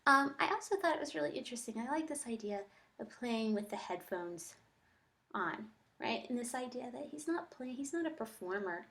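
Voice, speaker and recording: A woman's voice: on the high side, expressive, normal speed, a bit bright. A woman teacher giving a think-aloud reading lesson, reading and commenting reflectively, talking her thoughts through step by step.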